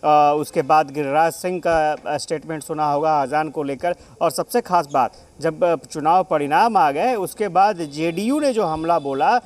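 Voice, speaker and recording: A man's voice: on the high side, measured pace, few pauses. A man giving an unscripted, explanatory live political report as a news correspondent.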